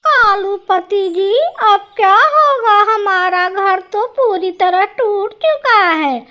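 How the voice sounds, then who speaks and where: A woman's voice: very high-pitched, expressive, takes it slowly, sharp tone, heavily acted. A woman voicing a fearful female crow wife in a cartoon, whiny, fretful and pleading. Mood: anxious.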